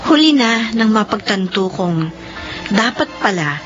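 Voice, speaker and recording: An actress playing a hardworking company officer and daughter in an expressive radio drama style. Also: female voice, mid-range voice, unhurried. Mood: regretful. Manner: expressive, code-switching between Filipino and English. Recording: muffled radio drama with music bed.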